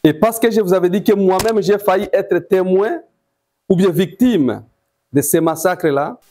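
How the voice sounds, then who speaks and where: A man with a Congolese accent giving eyewitness testimony, telling it deliberately in short phrases. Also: high-pitched, warm tone. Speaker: testifying massacre survivor.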